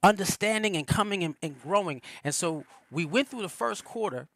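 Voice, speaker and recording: A man's voice: sits high, lively intonation, moderate pace, fairly bright tone, no echo. A man, a reverend, giving a loose, conversational spiritual teaching on a livestream, with many fillers and restarts.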